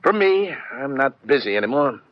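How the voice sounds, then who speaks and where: A man's voice: mid-range voice, very animated, normal speed, dry recording, lightly acted. A man playing a sardonic American police lieutenant in an old radio detective drama, clipped, slangy and matter-of-fact. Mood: wry. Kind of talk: 1940s-50s radio detective drama acting. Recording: muffled old radio recording.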